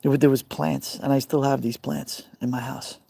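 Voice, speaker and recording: A middle-aged man with a Brooklyn accent talks to his viewers on a phone live stream from beside an outdoor fire pit. His voice is mellow and warm, mid-pitched, and he rambles from one comment to the next. He calls out his viewers by name as he goes. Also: level tone, moderate pace, gravelly, no room sound. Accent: Brooklyn New York. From Brooklyn, New York.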